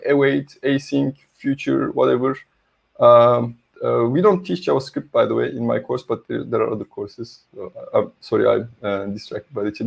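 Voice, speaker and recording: A man's voice: medium pitch, gravelly, a little mellow, slightly rough. A middle-aged man with a Turkish accent giving an online tech talk as a university lecturer, friendly and hesitant with many fillers. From Turkey. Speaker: conference speaker, university lecturer. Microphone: video call.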